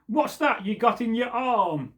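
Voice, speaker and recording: Threatening false knight from an old ballad, read by a man, slow and probing. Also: very high-pitched, lively intonation, fairly bright tone, voice pushed up, exaggerated voice. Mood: menacing. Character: menacing false knight on the road.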